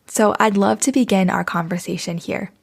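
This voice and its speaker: A woman's voice: medium pitch, normal speed, vocal fry. A woman hosting a podcast, opening with a scripted introduction and then interviewing her guest in an encouraging way. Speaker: podcast host.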